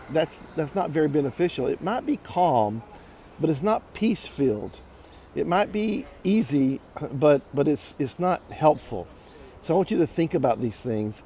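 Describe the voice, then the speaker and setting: A man giving a casual motivational monologue as a vlog host while walking outdoors, deliberate and repeating phrases for emphasis. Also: medium pitch, warm tone. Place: outdoors, walking on city streets. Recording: clear outdoor street noise.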